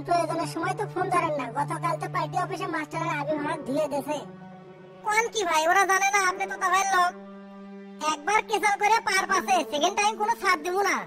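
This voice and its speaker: Someone voicing high, rapid-fire cartoon schemers. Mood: indignant, threatening. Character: scheming, boastful small-town political men in cartoon. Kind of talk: cartoon comedy dialogue.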